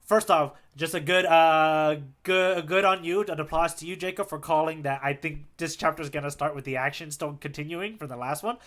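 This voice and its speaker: A man co-hosting a podcast, chatting casually in an animated, slangy way with plenty of "like". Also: on the high side, a little fast, hardly any pauses. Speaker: podcast co-host. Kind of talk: casual chat, manga readalong commentary.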